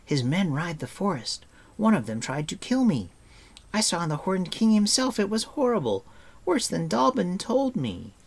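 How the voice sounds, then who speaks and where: A man voicing an earnest, frightened, excitable boy in a storybook, blurting and protesting. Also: fairly high pitch, unhurried, hardly any pauses, slightly rough, voice pushed up, exaggerated voice.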